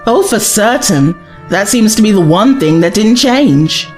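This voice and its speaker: A woman's voice: medium pitch, animated, measured pace, a little mellow, soft background music, natural voice. An actress playing a spirited, sceptical young woman, a traveller's companion in an audio drama, questioning and cheeky. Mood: matter-of-fact. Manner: questioning, cheeky, exasperated edge.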